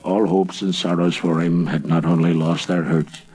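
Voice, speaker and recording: A man with a husky voice telling a ghostly folk tale in a theatrical, suspenseful storyteller's manner. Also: low voice, little pitch movement, a little mellow. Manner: theatrical, drawn-out suspenseful phrasing.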